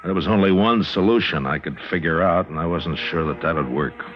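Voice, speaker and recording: An actor playing a weary, stern frontier marshal in an old radio western, American accent, terse and dry. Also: male voice, sits low, brisk, natural voice. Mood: grim, reflective. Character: weary, stern male frontier marshal, narrating his own story. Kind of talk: old-time radio western acting and first-person narration. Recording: dull old radio recording with music.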